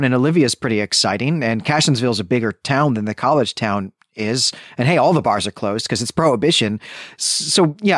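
A man's voice: mid-range voice, a bit bright, dry recording, studio quality. A man co-hosting a literary discussion podcast, speaking conversationally in long, winding, analytical sentences as he thinks aloud. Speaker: podcast co-host.

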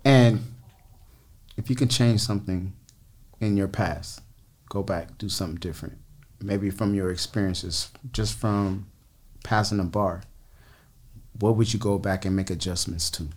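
A man hosting a podcast, asking casual interview questions in a relaxed, slangy way. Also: low voice, measured pace, long pauses. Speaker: podcast host.

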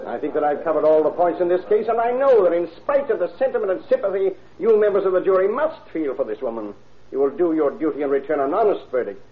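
Man playing earnest, higher-voiced old-radio prosecutor. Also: animated, quick pace, narrow, tinny audio, lightly acted. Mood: emphatic, accusing. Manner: methodical, formal, oratorical. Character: earnest male prosecutor summing up to a jury. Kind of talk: 1930s radio acting. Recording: band-limited old radio broadcast.

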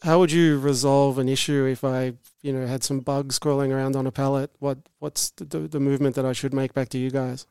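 Flat, smooth-voiced male co-host. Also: mid-range voice, brisk, few pauses, no echo. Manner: methodical, hypothetical questioning. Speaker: podcast co-host. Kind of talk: interview questions.

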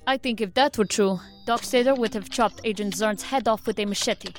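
An actress playing a serious, matter-of-fact federal agent in a science fiction audio drama, speaking in formal, precise phrases. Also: female voice, mid-range voice, normal speed, close to an everyday voice.

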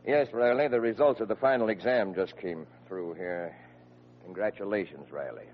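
An actor playing a gruff, exasperated police lieutenant in 1940s radio comedy, with a clipped, commanding manner. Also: male voice, fairly low pitch, normal speed, close to an everyday voice. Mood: resigned, dry. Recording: dull, noisy old radio recording.

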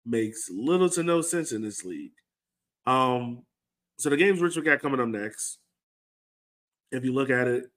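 A man's voice: mid-range voice, a bit bright. Casual male sports podcast host. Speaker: host of a soccer fan podcast.

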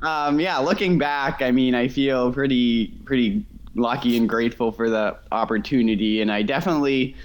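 A man's voice: mid-range voice, moderate pace, muffled recording. A young man from Massachusetts, a guest in a casual interview over a remote call, talking in a hesitant way with many fillers and restarts. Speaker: guest, ultra runner.

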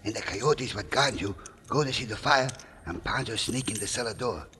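A man playing an excitable, loyal Mexican sidekick in an old radio drama, speaking broken English and calling himself by name. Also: fairly low pitch, moderate pace, lightly acted. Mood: eager. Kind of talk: 1950s radio drama acting. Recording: old radio recording with crowd noise.

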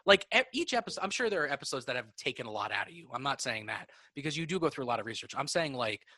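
A man's voice: mid-range voice, very animated, rapid-fire, sharp tone, dry recording. A man chatting casually and swearing freely, with lots of filler "like.". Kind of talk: casual conversation.

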